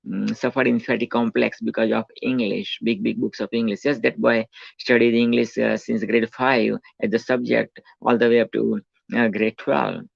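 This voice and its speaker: A man's voice: medium pitch, level tone, moderate pace, creaky, no echo. A man in his forties with a Gujarati accent, telling his life story in a rambling talk full of fillers and restarts. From India. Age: 40s. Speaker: self-help speaker. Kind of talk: rambling autobiographical monologue. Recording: dull lo-fi dry recording.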